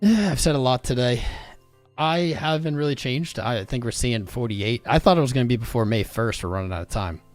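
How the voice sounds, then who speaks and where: Casual male streamer, middle pitch, slangy and rambling, voice worn thin, soft music playing in the background. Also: brisk, dry recording. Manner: rambling, slangy, talks straight to chat. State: losing his voice. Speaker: crypto livestream host. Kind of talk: casual livestream chat.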